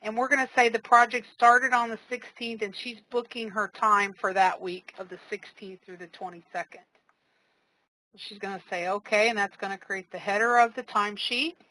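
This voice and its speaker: Casual woman walking through a software demo. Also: mid-range voice, steady tone, moderate pace, poor audio. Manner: casual, step-by-step explaining with fillers. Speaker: software trainer. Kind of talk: live software demo walkthrough.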